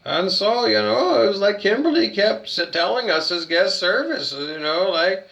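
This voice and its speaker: A man's voice: high voice, lively intonation, normal speed, creaky, a bit bright. A Canadian man rambling through a profane rant, full of restarts and fillers. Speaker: hotel guest complaining.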